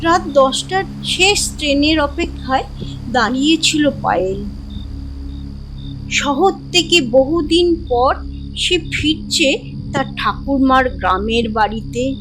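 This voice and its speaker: A woman narrating a scripted horror story in a dramatic, measured storytelling manner. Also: high voice, animated, noisy background.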